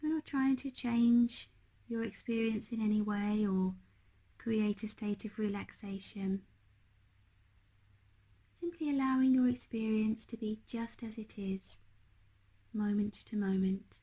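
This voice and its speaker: A woman leading a guided meditation as a teacher, speaking in a gentle, unhurried, hushed manner. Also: on the high side, fairly even intonation.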